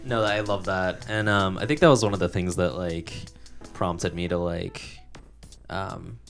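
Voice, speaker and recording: A man's voice: sits low, fairly even intonation, measured pace, vocal fry, fairly bright tone, faint music behind. A young man hosting a podcast, talking casually and agreeably with many fillers. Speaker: podcast host.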